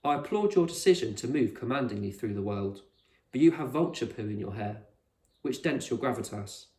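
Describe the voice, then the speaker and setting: A man's voice: medium pitch, expressive, moderate pace, darkish tone, close to an everyday voice. A man voicing an eccentric male explorer, courteous but sardonic and a little pompous, in a storybook read in an echoey room. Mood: dryly polite. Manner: formal, dryly pompous.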